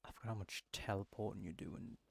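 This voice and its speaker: A man's voice: fairly low pitch, steady tone, fast talker, creaky, darkish tone, slightly rough. A man streaming a game, rambling in casual commentary with muttered asides.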